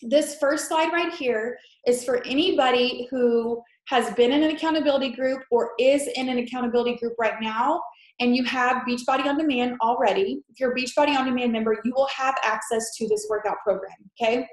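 A woman's voice: on the high side, fairly quick. A young woman with a stuffy head-cold nose, presenting a fitness webinar over a laptop mic in a chatty, filler-heavy, reassuring way. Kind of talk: casual sales presentation. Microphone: laptop mic on a video call. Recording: echoey room on a video call.

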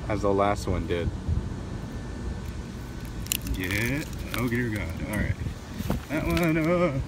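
A man narrating a casual fishing vlog outdoors, speaking off the cuff in a halting way. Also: fairly low pitch. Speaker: angler vlogger. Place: outdoors at night on a waterside concrete edge. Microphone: handheld camera or phone, voice off camera. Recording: distant voice, loud outdoor ambient noise.

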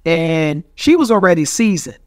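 A middle-aged man with a New York accent speaks in a high-pitched voice. He tells stories emphatically, peppered with slang, swearing and little check-in tags. The sound is dry and close, as in a padded podcast studio. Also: sing-song, moderate pace, no echo. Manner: emphatic, street slang, heavy swearing, frequent "you know" tags. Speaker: podcast guest. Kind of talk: casual storytelling in a podcast interview. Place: small studio with foam-padded wall. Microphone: studio microphone on boom arm.

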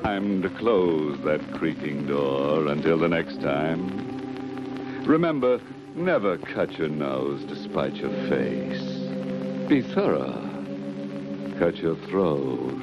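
Very deep, slow, sinister horror host. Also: male voice, very animated, heavily acted. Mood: ghoulish, mocking. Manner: deliberate, ghoulishly playful. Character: sinister, macabre-humoured horror show host, slow and mocking. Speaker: host of a mystery anthology. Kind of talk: 1950s horror anthology narration. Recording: dull old film soundtrack, loud music.

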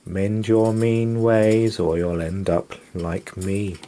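Man doing a slow, wailing male ghost. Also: lowish voice, little pitch movement, dark tone, slight background noise. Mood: wailing warning. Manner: drawn-out, ominous wailing. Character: wailing male ghost warning an old partner.

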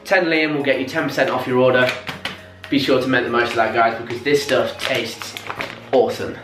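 A man's voice: mid-range voice, steady tone, brisk. A British man in his early twenties talking casually and enthusiastically as a fitness vlogger, explaining a workout to camera. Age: early 20s. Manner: enthusiastic, informal. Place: small brick garage home gym, indoors. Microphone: camera at arm's length or propped nearby. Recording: echoey small room, music behind. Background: music.

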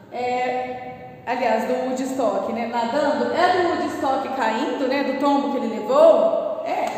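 A woman's voice: sits high, darkish tone, a little hiss or hum. A woman in her thirties with a Brazilian Portuguese accent, a primary school teacher correcting workbook exercises, patiently explaining and asking the class questions. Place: classroom in front of a whiteboard, indoors. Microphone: far camera. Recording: roomy classroom echo, from a distance.

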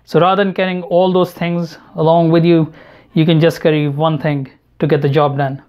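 A man narrating an unboxing and product review in a measured, matter-of-fact way. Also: a little high, level tone, muffled recording. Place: indoor room at home, at a table. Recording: home recording with clear background noise. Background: noise, handling clicks.

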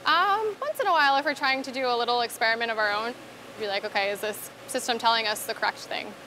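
A woman's voice: fairly high pitch, fast talker, sharp tone, slight background noise, low-quality recording. A young woman, a vertical farmer, talking casually and in a friendly way in an interview. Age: young adult. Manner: friendly, chatty, laughs easily. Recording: interview with background music.

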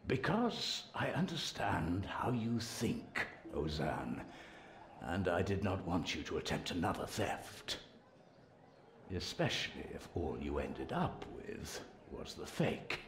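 A stern, crafty royal spymaster for a video game. He speaks in formal, deliberate phrases with a lively, swinging pitch. The voice sits in a roomy echo. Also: full character voice. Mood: guarded, warning. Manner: formal, deliberate, measured diction. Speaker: spymaster character.

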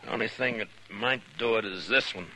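An American actor playing a weary, stern, principled frontier marshal in a 1950s radio western. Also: male voice, on the low side, a little fast, sharp tone, rough recording. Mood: pensive, urgent. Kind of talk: 1950s radio drama acting. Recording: 1950s radio recording, faint noise.

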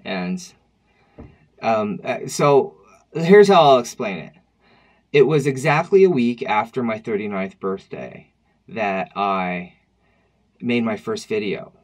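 A man's voice: lowish voice, expressive, takes it slowly, long pauses. Reflective, wry man in his thirties. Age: 30s. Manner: reflective, wry, conversational with frequent restarts. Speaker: vlogger. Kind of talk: personal vlog monologue. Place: dim room at home, indoors.

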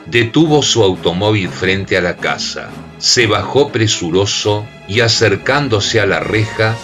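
A man narrating a radio drama in a measured, dramatic storytelling manner. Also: on the low side, a bit bright.

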